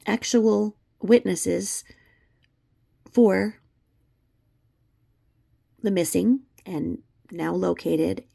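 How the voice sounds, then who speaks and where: A woman's voice: fairly low pitch, gravelly, mellow. A woman giving unscripted, scornful and emphatic opinion commentary as the host of her own channel.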